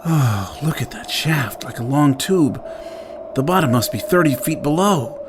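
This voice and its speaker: A man's voice: a little high, animated, unhurried, noisy background. An actor playing a rugged, wary Earth-born outlaw on Mars in an audio drama, intense and theatrical as he talks himself through things. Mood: wary wonder. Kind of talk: audio drama acting with first-person narration.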